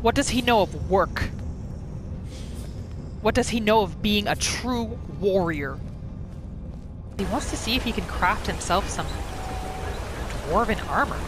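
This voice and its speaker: A woman's voice: mid-range voice, animated, a little slow, long pauses, noisy background. A woman gaming host casually narrating her roleplay playthrough in the third person, as a voice-over.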